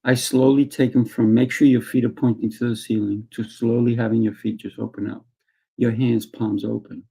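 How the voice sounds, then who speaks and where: A man who teaches martial arts, speaking in a calm, soft guiding way as a podcast guest. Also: medium pitch, normal speed, gravelly, slightly rough, poor audio. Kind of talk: conversational interview talk.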